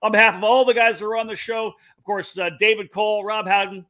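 A man's voice: sits high, fairly even intonation. A man from Canada co-hosting an online racing talk show, upbeat and promotional. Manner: upbeat, quick promotional delivery. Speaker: co-host.

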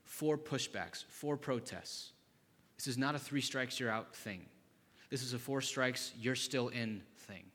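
Brief: male pastor, mid-pitched, conversational sermon, long hall reverb. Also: unhurried, a bit bright. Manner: conversational, with rhetorical questions to the congregation.

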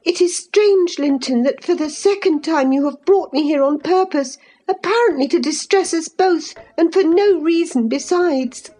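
A woman's voice: extremely high pitch, normal speed, few pauses, dark tone, soft background music, voice pushed up, poor audio. A woman voicing a scornful, passionate young lady in a storybook, scolding with emphatic, imperious phrasing. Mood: indignant, impatient.

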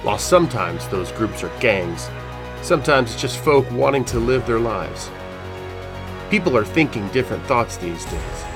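An actor as the solemn narrator of a fantasy cyberpunk audio drama, with measured, scripted delivery. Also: male voice, on the low side.

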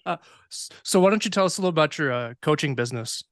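A man's voice: fairly high pitch, expressive, rapid-fire, no echo, clean studio sound. A man in his forties hosting a casual chat in an informal, joking way. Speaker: podcast host.

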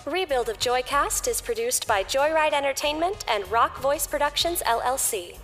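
Woman, high voice, scripted outro narration, long reverb, music behind. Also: animated, moderate pace, fairly bright tone.

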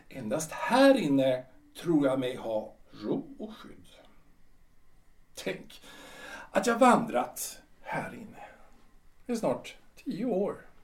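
High, echoey voice of a wistful old recluse played by a man. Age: 60s. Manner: hesitant, repeats himself, muses in broken phrases. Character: reclusive, nostalgic retired civil servant of 62, lonely but resigned. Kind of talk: theatrical radio drama acting. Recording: roomy echo, distant from the mic.

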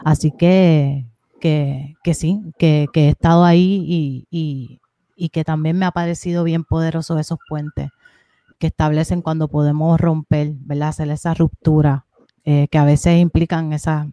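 Woman, halting, many restarts, flat tone, mellow, dry sound. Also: low voice, dry recording, highs cut off. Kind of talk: casual conversation.

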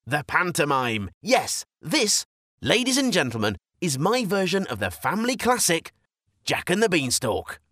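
A man's voice: on the high side, huge pitch swings, measured pace, bright, forceful tone, no echo, clean studio sound. A man hosting a podcast with wry, self-mocking, scripted comic narration. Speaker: podcast host.

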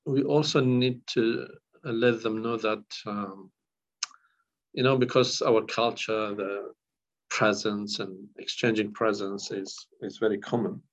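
Hesitant man, low voice. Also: steady tone, slow. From northern Iraq. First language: Kurdish. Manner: hesitant, many fillers and restarts. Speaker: counsellor. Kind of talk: podcast interview. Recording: dull lo-fi audio.

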